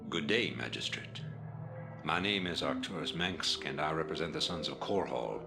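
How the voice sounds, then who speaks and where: An actor playing a grave, persuasive rebel leader in a game briefing, measured and oratorical. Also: male voice, very deep voice, animated, vocal fry, music in the background, exaggerated voice. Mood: persuasive, solemn. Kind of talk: video game briefing acting.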